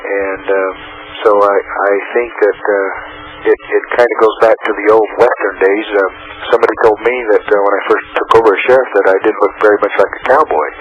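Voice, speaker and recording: A man in his forties from Arizona, a county sheriff with a hoarse voice from a cold, talking haltingly with many fillers as a guest. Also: fairly low pitch, little pitch movement, lo-fi sound, slight background noise. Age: 40s. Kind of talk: phone-in interview.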